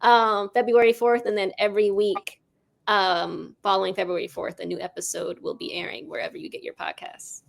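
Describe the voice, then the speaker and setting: A woman's voice: mid-range voice, flat delivery, moderate pace, creaky. A woman, a guest on a show, giving casual promotional talk. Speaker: audio drama creator, show guest.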